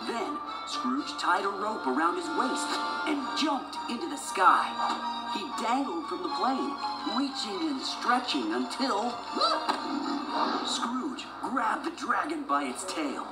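A woman's voice: medium pitch, sing-song, takes it slowly, roomy sound, poor audio. A woman narrating a children's adventure storybook from a script. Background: music.

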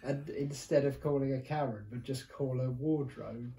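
A middle-aged man with a British accent chats casually as a co-host. His pitch is average for a man. He talks in anecdotes, seated a little way from the mic in a small room. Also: steady tone, moderate pace, mellow, low-quality recording. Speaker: co-host reminiscing about pantomime work. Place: small bare indoor room, seated at a table.

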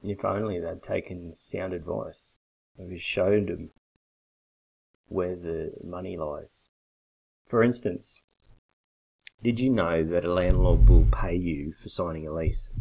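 A man in his forties giving a blunt, persuasive sales pitch straight to a webcam from a small bare office. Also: steady tone, slow pace, gravelly, long pauses, darkish tone, breathy edge, rough recording. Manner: blunt, persuasive, emphatic with hand gestures. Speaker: coffee business consultant. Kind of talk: direct-to-camera sales pitch. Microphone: webcam at desk.

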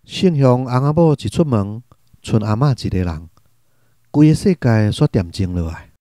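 A man's voice: medium pitch, animated, normal speed, mellow, soft background music, close to an everyday voice. A man with a Taiwanese accent narrating a literary radio drama from a script.

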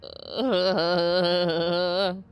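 A young woman in her twenties with a stuffy, blocked nose, casually reacting to a show from her bedroom setup on a boom mic, excitable and prone to shrieks and swearing. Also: very slow, clear, resonant tone, faint music behind. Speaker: reaction streamer. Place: bedroom streaming setup with colorful lights. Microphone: studio mic on boom arm, headphones. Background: show audio playing.

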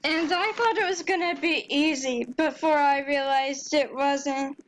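A Texan woman talks slowly over a game session, her voice high and light. A steady hiss of noise sits behind her words. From Texas. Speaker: gamer. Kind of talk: casual gameplay chat. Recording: background noise.

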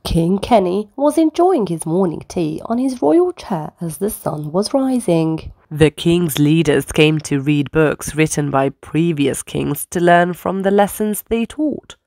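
A woman reading a children's story aloud as a storyteller, with dramatic, deliberate emphasis. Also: lowish voice, animated, dark tone, no echo, clean studio sound.

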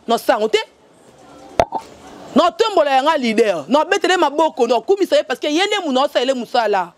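A middle-aged woman with a Congolese accent scolds in a very high voice. She talks loud and animated, waving her hands. A handheld mic catches her outdoors. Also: brisk, no echo. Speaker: interviewee. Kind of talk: heated rant. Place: outdoors by a tiled wall. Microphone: handheld mic in front.

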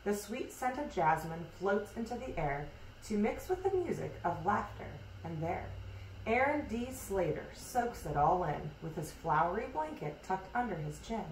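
A woman reading a rhyming children's picture book aloud in an expressive, measured storytelling way. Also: medium pitch. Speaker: storybook reader. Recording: echoey room, distant mic, hissy noise.

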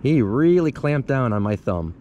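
A man's voice: medium pitch, very animated, moderate pace, mellow, lo-fi sound, a little hiss or hum. A young man chatting casually to the camera as he fishes from a snowy riverbank, thinking aloud about each catch. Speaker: fishing vlogger. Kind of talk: casual running commentary while fishing. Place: outdoors on a snowy riverbank in winter. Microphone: camera worn on the body or handheld at close range.

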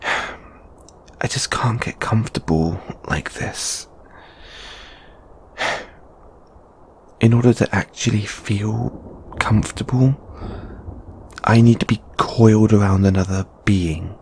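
A man playing a shy, anxious, lovelorn young lamia flatmate in an intimate audio roleplay, speaking hesitantly with stammers and sighs. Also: fairly low pitch, slow, pauses often, a little hiss or hum. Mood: wistful, embarrassed.

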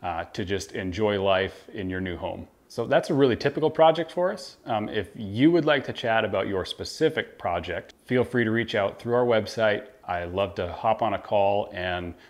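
An American man in his thirties giving an informal, measured explainer to camera as a renovation contractor. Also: lowish voice, animated. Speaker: home renovation contractor presenting. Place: indoor kitchen.

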